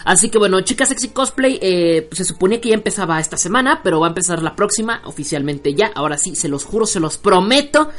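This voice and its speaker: A man's voice: high voice, lively intonation, fast, hardly any pauses, fairly bright tone. Rambling, jokey male show host. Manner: rambling, jokey, many fillers and restarts. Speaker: online radio show host. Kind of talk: casual live show chat with listener shout-outs.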